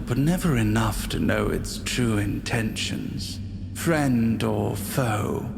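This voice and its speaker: A man narrating a scripted suspense story in a measured, ominous storytelling manner. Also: lowish voice, vocal fry, noisy background. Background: music.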